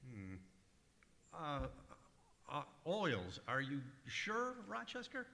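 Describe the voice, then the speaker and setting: Man playing fussy radio comedian, bright echoing voice. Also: fairly high pitch, huge pitch swings, vocal fry, slight background noise, full character voice. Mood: puzzled. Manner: hesitant, hemming and drawn-out delivery. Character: fussy, exasperated male radio comedian out Christmas shopping. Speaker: stage actor in old-time radio revue. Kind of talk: 1940s radio comedy acting before a live audience. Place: large echoey museum room, live stage. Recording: echoey hall recording of stage show.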